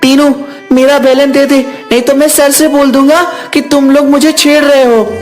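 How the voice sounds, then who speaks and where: Very high-pitched schoolboy, acted by an adult. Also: steady tone, normal speed, a little mellow, faint music behind, close to an everyday voice. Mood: whiny, complaining. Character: effeminate schoolboy who loves cooking, and teasing classmates. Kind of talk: Hindi moral story cartoon dialogue.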